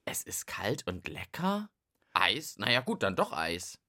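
A man co-hosting a children's radio show with playful banter, animated and thinking out loud as he goes. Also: on the low side, measured pace.

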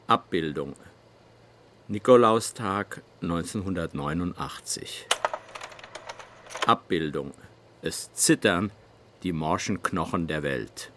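An actor playing a solemn, formal announcer reading out film captions in a radio play. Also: male voice, sits low, full character voice. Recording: noisy, with clicking typewriter-like sounds.

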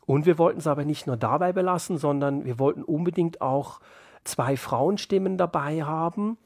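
A man's voice: a little high, normal speed, a little mellow, dry recording, clean studio sound. A man speaking as an author in an interview, explaining his work in a thoughtful, reflective way.

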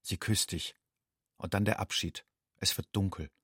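Haunted secretive young migrant guy, radio play monologue, husky and dry, terse inward phrases. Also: sits low, fairly even intonation, measured pace, fairly bright tone, no room sound, heavily acted. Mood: tense, inward. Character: haunted, secretive young undocumented man addressing himself as you. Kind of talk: literary second-person monologue in a radio play.

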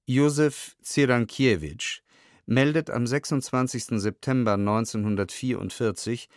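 A man reading historical documents aloud in a scripted, measured and precisely articulated documentary style. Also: mid-range voice, no room sound, clean studio sound.

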